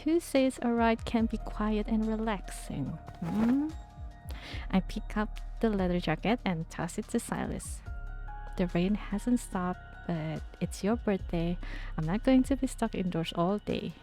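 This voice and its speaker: A woman with a mellow, soft-edged voice reads the game's story aloud, then drifts into casual, thinking-aloud chat with her viewers. Faint music sits under her voice. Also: medium pitch, a little slow. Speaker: streamer. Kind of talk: reading game text aloud and chatting with viewers.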